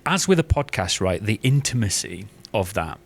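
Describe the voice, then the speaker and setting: A man chatting casually and enthusiastically about creative work, effusive and often halting to restate himself with emphasis. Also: fairly low pitch, big pitch swings, creaky, slight background noise. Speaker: writer and podcast guest.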